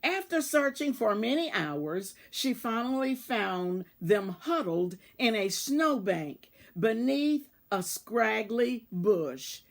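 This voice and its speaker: A woman reading a children's storybook aloud, deliberately and in short phrases, as a volunteer reader. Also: on the high side, sing-song, creaky. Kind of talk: read-aloud children's storybook narration with asides about the pictures.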